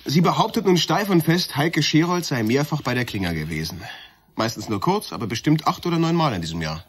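An actor playing a casual, wry junior police detective in a radio drama, talking colloquially. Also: male voice, fairly high pitch, big pitch swings, brisk, breathy edge. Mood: wry, reporting. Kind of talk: German radio drama acting.

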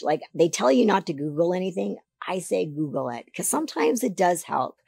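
A woman in her forties from Canada, a podcast guest, talking emphatically about health in a casual interview into a boom mic in a studio. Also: gravelly, husky, no echo. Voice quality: deeper voice than she once had. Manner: emphatic, animated advice-giving. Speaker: podcast guest, figure competitor. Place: studio set on a sofa. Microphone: boom mic on a stand.

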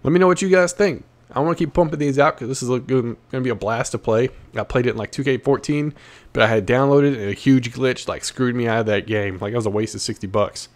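A man's voice: mid-range voice, fast talker. A man hosting a gameplay video with casual, slangy commentary, repeating phrases and talking back to the game. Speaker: gaming video host.

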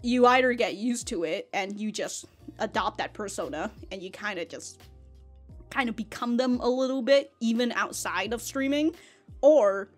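A young woman hosting an anime reaction video, rambling loosely and swearing often as she laughs between thoughts into a studio mic. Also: medium pitch. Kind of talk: casual reaction commentary. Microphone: large studio mic in front.

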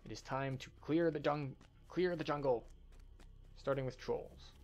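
A man's voice: mid-range voice, unhurried, darkish tone. A man giving commentary as host of a video game playthrough. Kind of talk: video game commentary and dialogue read-aloud.

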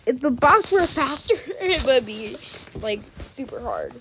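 A woman's voice: sits high, big pitch swings, a bit bright. A young woman with a congested voice, casually chatting as she plays a game for her channel. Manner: chatty, slow-paced, says "like" often. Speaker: gaming channel host. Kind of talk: casual gameplay commentary. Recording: noticeable background noise.

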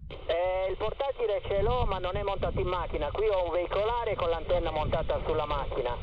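Muffled high-pitched man over noisy radio. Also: brisk. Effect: radio transmission. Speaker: radio hobbyist. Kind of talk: casual CB radio exchange. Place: moving car.

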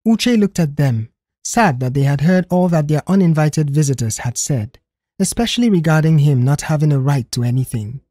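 A woman's voice: low voice, lively intonation, moderate pace, dark tone, dry recording, clean studio sound. A woman reading scripted narration for an audio story.

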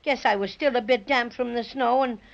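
A woman's voice: on the high side, fairly quick, vocal fry. A woman playing a small, mischievous, self-pitying male teddy bear with a plaintive folksy manner, in 1930s radio acting. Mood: sheepish, defensive. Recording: muffled lo-fi 1930s radio recording.

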